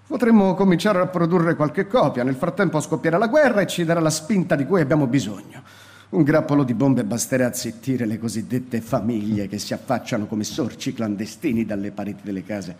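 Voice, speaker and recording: A man's voice: a little mellow, lightly acted. A man playing a weary old scholar. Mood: resigned. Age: older. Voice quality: measured, turns shrill in alarm. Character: weary old male scholar, bitter and frightened. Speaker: actor in a radio play. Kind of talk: radio drama acting.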